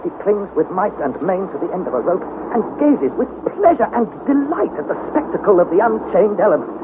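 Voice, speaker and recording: An anxious young explorer telling his sea journal in a dramatised narration. His voice is fairly high, theatrical and intense. It comes through a tinny narrow band with clear noise behind it. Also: very animated, brisk. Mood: intense, awestruck.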